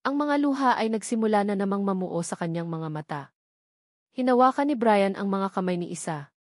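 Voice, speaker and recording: A woman's voice: medium pitch, expressive, a little fast, dry recording. Female narrator, Filipino accent, emotive scripted love-story reading, dramatic emphatic phrasing, dry close sound.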